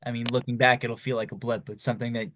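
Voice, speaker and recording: A man's voice: mid-range voice, little pitch movement, fast, a bit bright, slight background noise. A young man hosting a podcast, asking long, loose questions with lots of you-knows. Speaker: podcast host. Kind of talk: casual interview chat.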